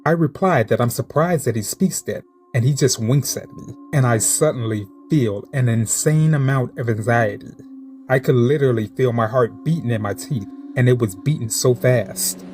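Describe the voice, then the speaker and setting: A man narrating true-encounter horror stories in a steady, measured reading voice. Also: mid-range voice, faint music behind. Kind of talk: scripted horror story narration.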